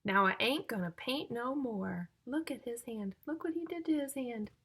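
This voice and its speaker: A woman reading a picture book aloud to young children in a sing-song, exaggerated way, pausing to ask and explain like a teacher. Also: a little high, lively intonation, fairly bright tone, breathy edge. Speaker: children's story-time reader and teacher.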